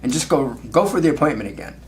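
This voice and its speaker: A man's voice: a little high, lively intonation, quick pace. A man working as a sales trainer, coaching in an instructive way with praise and frequent check questions. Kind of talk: coaching commentary on a phone call.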